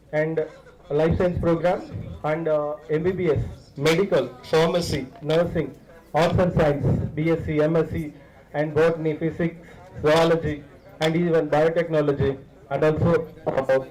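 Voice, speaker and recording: A man's voice: on the high side, darkish tone, low-quality recording. A man with an Indian accent speaks as a visiting university director, giving an explanatory, promotional address to students and running through lists with repeated phrases.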